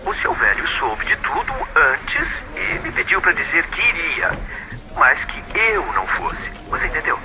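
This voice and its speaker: A man's voice: on the high side, huge pitch swings, normal speed, noisy background. A man playing a callous, smug young schemer in an audio drama, mocking and conspiratorial in manner.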